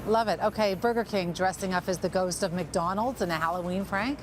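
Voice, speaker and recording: A woman's voice: mid-range voice, a little fast, background noise. A middle-aged Canadian woman anchoring the news in an informal, chatty interview style. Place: newsroom studio.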